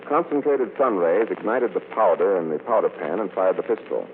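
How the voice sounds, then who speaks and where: An actor playing a suave, self-assured American gentleman detective, measured and authoritative, in 1940s radio drama style. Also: sits low, natural voice. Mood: assured, explanatory. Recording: tinny, noisy 1940s radio recording.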